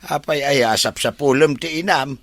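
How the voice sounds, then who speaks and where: A man's voice: on the high side, moderate pace, a bit bright, dry recording. A man playing a kindly, easygoing older father in a radio drama, with an Ilocano accent. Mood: gentle.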